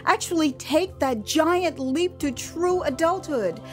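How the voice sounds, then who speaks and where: American female narrator for memoir audio drama: higher-pitched voice, expressive theatrical delivery, conversational asides, music underneath. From United States. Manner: expressive, dramatic storytelling with drawn-out emphasis and chatty asides. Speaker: author narrating her own audio drama.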